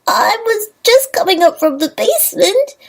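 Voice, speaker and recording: An extremely high, childlike female pony in an audio drama. She is clumsy and sheepish, apologising hesitantly with lively, wavering intonation. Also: moderate pace. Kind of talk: fan audio drama acting.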